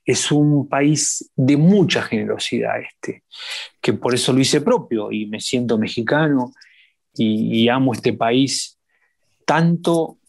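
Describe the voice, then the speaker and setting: Expressive Argentine man telling stories. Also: medium pitch, takes it slowly, gravelly. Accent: Argentine Spanish. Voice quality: warm, expressive, lingering on repeated words. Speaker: singer, interview guest. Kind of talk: reflective conversational storytelling.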